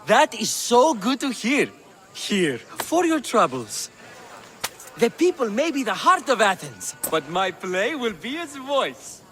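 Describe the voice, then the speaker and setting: Male game part: theatrical ancient Greek dramatist, extremely high, drawn-out effusive delivery, noisy crowd around. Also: big pitch swings, full character voice. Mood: relieved, grandiose. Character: excitable, theatrical male Greek comic playwright. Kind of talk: video game dialogue, stagey.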